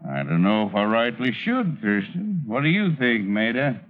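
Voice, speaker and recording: An actor playing a calmly menacing cargo pilot with a folksy American Southern drawl in a vintage radio drama. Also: male voice, mid-range voice, lightly acted. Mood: wary, sly. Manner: slow folksy drawl, falsely polite menace. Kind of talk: 1940s radio acting. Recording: tinny, narrow-band old radio recording.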